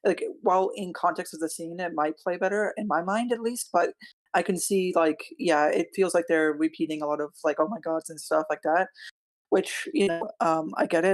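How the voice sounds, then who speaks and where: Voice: mid-range voice, poor audio. A person giving casual feedback in a rambling way, qualifying their opinions as they go. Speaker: workshop participant.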